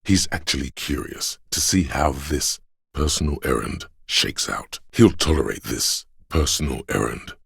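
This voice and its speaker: A man with a gravelly, husky voice reading scripted literary narration for a video game in a measured, solemn, deliberate way. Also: rumbling low, lively intonation, a bit bright, dry recording, clean studio sound.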